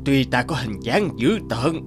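A man's voice: mid-range voice, very animated, measured pace, over music. A man voicing a fierce but protective dragon lord in a cartoon fairy tale, solemn and commanding. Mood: solemn, reassuring.

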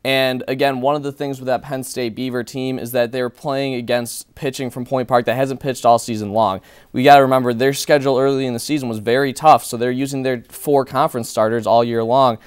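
A man's voice: mid-range voice, fairly even intonation, fairly quick, smooth, no echo. A young man working as a sports analyst in a small TV studio, breaking down games and players confidently and fluently. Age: young adult.

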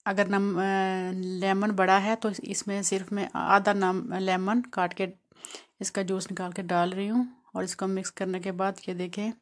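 A woman from Pakistan narrates her home cooking video step by step. Her delivery is calm and explanatory, with a mid-height voice that stays flat and even, barely rising or falling. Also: mid-range voice. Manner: calm, explanatory, walks through each step. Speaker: home cooking channel host.

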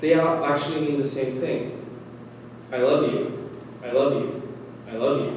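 A young man performing a spoken word piece on a dark stage, measured and dramatic, with pauses and voices for his mother and younger self. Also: mid-range voice. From United States. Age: young adult. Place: dark stage under a single spotlight. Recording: echoey hall, distant mic, faint hiss.

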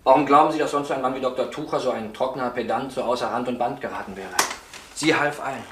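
An actor playing a defensive, emotional former drug counsellor in a crime radio drama, speaking fervently and haltingly. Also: male voice, medium pitch, lightly acted. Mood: earnest, fervent. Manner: halting, fervent, pleading for understanding. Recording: echoey room, noisy old recording.

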